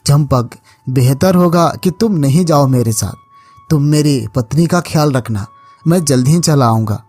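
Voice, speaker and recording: A man's voice: on the high side, moderate pace, a little mellow, close to an everyday voice. Man as a kind cartoon village farmer, music behind. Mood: warm, caring. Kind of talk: Hindi cartoon moral story acting.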